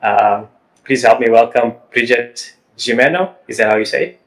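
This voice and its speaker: A man hosting a wellness podcast, asking questions in a halting way, repeating words and saying "you know". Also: mid-range voice, fairly bright tone, poor audio. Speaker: podcast host. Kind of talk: podcast interview.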